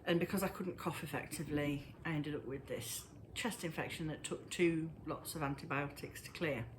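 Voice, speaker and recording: Breathless Englishwoman chatting haltingly. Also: low-pitched, background noise. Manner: halting, pauses often. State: breathless, wheezy. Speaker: knitting vlogger. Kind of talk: casual chat to camera. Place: at home indoors.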